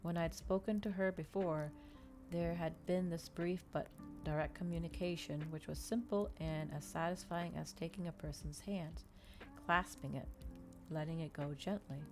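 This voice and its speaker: Soft-spoken woman reading, lower-pitched voice. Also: steady tone, moderate pace, music in the background, rough recording. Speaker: streamer reading a novel aloud. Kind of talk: ASMR audiobook reading.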